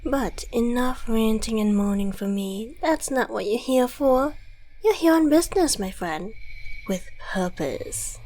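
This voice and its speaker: Female performer as devil dealmaker, fairly high voice, leisurely pace, silky, theatrical, condescending, menacing, ASMR roleplay. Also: animated, a little slow, warm tone, low-quality recording. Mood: mocking, condescending. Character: suave devil dealmaker, courteous, smug and menacing. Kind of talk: ASMR audio roleplay monologue.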